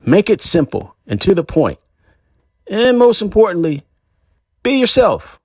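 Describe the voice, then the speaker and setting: A man voicing a wise, gentle old grandfather in a storybook reading, giving advice in a high, distant-sounding voice. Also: huge pitch swings, unhurried, breathy edge, dry recording, full character voice.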